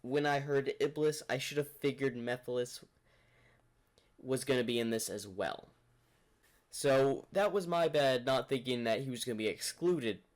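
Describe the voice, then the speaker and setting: A man with an average-pitched voice hosts a reaction video. He talks casually and rambles as he thinks aloud, commenting as a fan would. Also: moderate pace.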